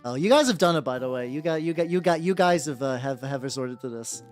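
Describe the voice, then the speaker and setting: A young man with a relatively high voice chatting casually on a livestream while painting miniatures, rambling and often repeating himself. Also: lively intonation, fast talker. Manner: rambling, repeats words, laughs often, squeals in playful high bits. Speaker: VTuber streamer. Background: soft background music.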